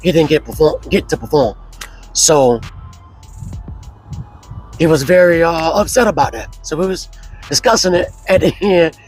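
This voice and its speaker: A man with a hoarse voice, casually telling his life story as an interview guest, slow and relaxed with many fillers. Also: on the high side, faint music behind, low-quality recording.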